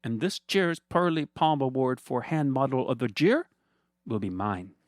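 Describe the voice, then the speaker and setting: A man's voice: mid-range voice, expressive, normal speed, no echo, studio quality, pitched above the performer's own voice, close to an everyday voice. A man voicing a smug, villainous male hand model who gloats in a theatrical, condescending way. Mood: gloating. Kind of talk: comedic storytelling voice.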